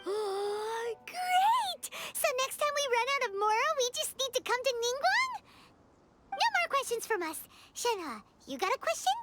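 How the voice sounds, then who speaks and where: A woman voicing a tiny, excitable, childlike fairy companion in a video game, with a perky manner. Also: very high-pitched, a little slow, over loud music, exaggerated voice. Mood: eager, bossy. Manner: perky, refers to herself in third person.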